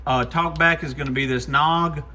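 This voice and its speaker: A man's voice: a little high, fairly even intonation, moderate pace, bright, forceful tone, slight background noise. A man presenting a casual, hands-on product demo, talking informally to viewers as a shop owner would. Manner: informal, addresses viewers as guys.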